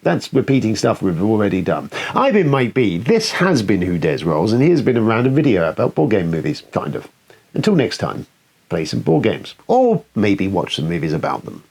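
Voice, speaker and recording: A British man presents a casual board game video with very animated pitch. His voice is a little raspy and creaky, and he rambles along with self-deprecating, sweary asides. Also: moderate pace. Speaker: board game review show host.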